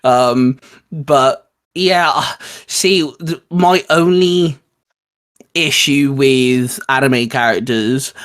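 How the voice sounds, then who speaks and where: A man's voice: medium pitch, very slow, a bit bright, smooth. A man hosting a casual video discussion, repeating and stressing phrases for emphasis. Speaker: host. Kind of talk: casual video chat and intro.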